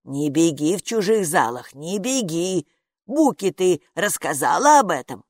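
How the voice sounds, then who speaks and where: A fretful gatekeeper, as a woman puts her on grumbles and scolds in a loud, lowish voice. The storybook reading is close and dry. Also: big pitch swings, measured pace, no room sound. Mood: scolding. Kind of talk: audiobook character reading.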